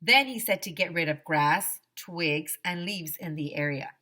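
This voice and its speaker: A woman teacher reading a children's story aloud in an expressive, emphatic way. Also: fairly low pitch, a little slow.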